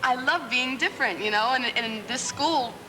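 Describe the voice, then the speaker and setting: Young woman, highish voice in echoing hall. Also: moderate pace, background noise. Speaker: drama student. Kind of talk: casual group interview. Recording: reverberant hall, old tape.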